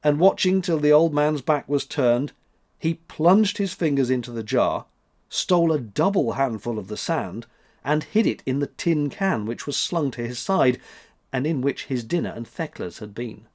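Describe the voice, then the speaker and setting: Guy reading a story out loud, storyteller style, really expressive, medium pitch w lots of movement. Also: moderate pace. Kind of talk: expressive scripted story narration.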